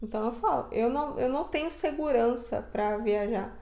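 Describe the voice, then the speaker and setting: Brazilian female vlogger, slightly high register, conversational and colloquial, roomy indoor sound. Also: fairly quick, a little mellow. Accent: Brazilian Portuguese. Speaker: travel vlogger. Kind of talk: casual chat to camera. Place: indoor living room, seated on sofa. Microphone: lapel mic.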